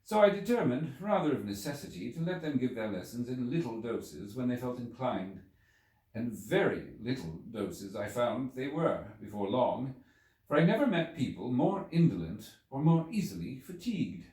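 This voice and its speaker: A man narrates a literary story in a roomy, echoing space, sitting a little back from the microphone. His medium-pitched voice moves in wide, lively swings. He reads in a measured, theatrical way, setting phrases apart with deliberate pauses. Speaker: audiobook narrator. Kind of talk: dramatic literary story reading. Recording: roomy echo, distant from microphone.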